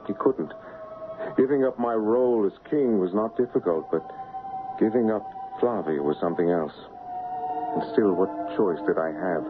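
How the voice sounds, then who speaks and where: An actor playing an honorable, lovesick stand-in king in a radio drama, speaking and narrating in an earnest, formal, measured way. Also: on the low side, natural voice. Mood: sorrowful. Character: lovesick honorable English royal stand-in, noble and troubled. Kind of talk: radio drama acting and first-person narration. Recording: muffled vintage radio broadcast, music behind.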